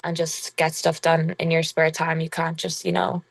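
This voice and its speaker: A young woman whose Irish accent has turned strongly American talks in a low, flat voice. She chats casually and haltingly, leans on fillers, and laughs easily. The sound is dry and close. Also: gravelly, no room sound. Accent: Irish with a strong American tinge. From Ireland. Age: young adult. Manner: relaxed, halting, full of "like" fillers, laughs often. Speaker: college basketball player guest. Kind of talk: casual interview chat.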